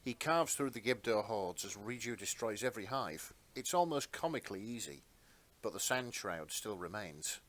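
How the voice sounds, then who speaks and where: A man's voice: fairly low pitch, animated, moderate pace, a bit bright, no room sound. A man reading scripted narration for a fantasy fan story in a dramatic storytelling style.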